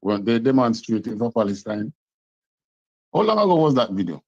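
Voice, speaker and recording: A man's voice: mid-range voice, sing-song, moderate pace, no room sound. A Jamaican man teaching a class, talking emphatically and repeating words to drive his points home. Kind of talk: lecturing class talk. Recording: dull, muffled, dry sound.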